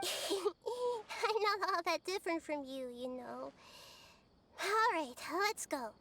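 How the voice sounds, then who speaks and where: A woman voicing a gentle, wise, childlike girl deity in a video game, speaking thoughtfully and articulately. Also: very high-pitched, lively intonation, slow, background noise, exaggerated voice. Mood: friendly, calm.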